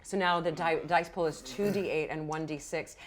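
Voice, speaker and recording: A woman acting as game master, narrating a tabletop game casually with animated, exclamatory reactions. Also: deep voice, moderate pace.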